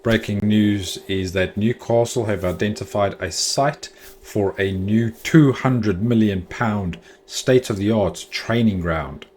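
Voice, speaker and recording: A man's voice: on the low side, slow, darkish tone, slight background noise. A man hosting a football fan channel, giving chatty, opinionated news commentary with the odd filler.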